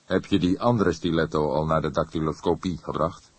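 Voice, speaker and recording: A man voicing a grey-haired veteran detective in an audiobook, deliberate and dryly authoritative. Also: extremely low pitch, steady tone, slightly rough, no echo, exaggerated voice. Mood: businesslike. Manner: deliberate, dry, instructive.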